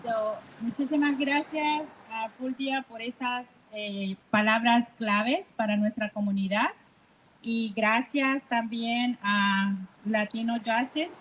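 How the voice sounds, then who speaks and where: A woman's voice: on the high side, a little hiss or hum, rough recording. A woman hosting a press event, introducing speakers with many fillers. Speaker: host. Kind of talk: emceeing a press event in two languages. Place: outdoor rally.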